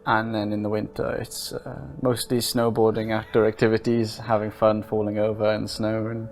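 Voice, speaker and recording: A man in his twenties, interviewed about his life, speaks in a calm, unhurried way with frequent hesitations. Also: fairly low pitch. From Wales and Norway. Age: 20s. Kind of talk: casual personal testimony.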